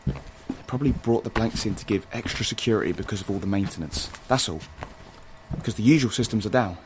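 Man playing an earnest, low-voiced rebel guide. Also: fast talker, background noise, lightly acted. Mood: urgent, tense. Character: earnest, urgent male rebel guide, nerdy and protective. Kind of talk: audio drama acting. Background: music.

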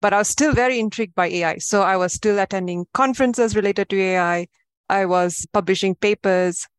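A woman in her thirties from Malaysia, a podcast guest explaining her work in a measured way. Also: medium pitch, clear, resonant tone, dry recording. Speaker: guest, AI advisor. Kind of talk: interview answers.

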